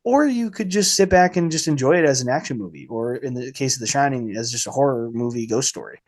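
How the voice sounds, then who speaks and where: A man hosting a casual film podcast, speaking informally and haltingly with a slight cold in his voice. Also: medium pitch. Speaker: podcast host.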